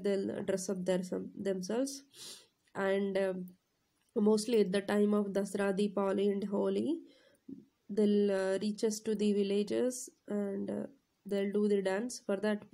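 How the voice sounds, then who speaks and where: A woman's voice: mid-range voice, vocal fry, mellow. A woman teacher with a cold, explaining a lesson to her class with many fillers and checking questions. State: has a cold. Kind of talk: classroom lecture, reading then explaining.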